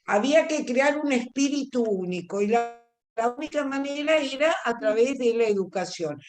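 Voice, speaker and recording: A woman's voice: on the high side, animated, normal speed. A woman teaching an online class, explaining at length and checking that students follow. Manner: explanatory, asks if understood.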